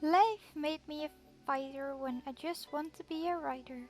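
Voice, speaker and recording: A woman's voice: sits high, moderate pace, a little mellow, faint music behind. A woman streamer reading game text aloud steadily, sometimes restarting a word.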